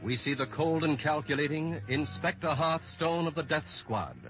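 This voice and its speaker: A man narrating a radio drama as announcer, in a grand, deliberate, old-time dramatic style. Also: a little high, a bit bright, soft background music. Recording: dull old radio recording.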